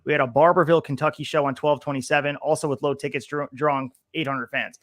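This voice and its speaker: A man hosts a podcast in an upbeat way, reading from his notes and throwing questions to his co-host. His voice sits a little higher than most men's. The close mic sounds dry and tight. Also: fairly even intonation, fast, a bit bright, no room sound. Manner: upbeat, asks questions, reads notes aloud. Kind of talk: casual chat, reading from old newsletters.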